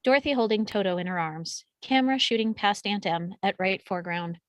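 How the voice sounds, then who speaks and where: A woman's voice: mid-range voice, brisk, no echo. A woman reading out screenplay stage directions as scripted narration.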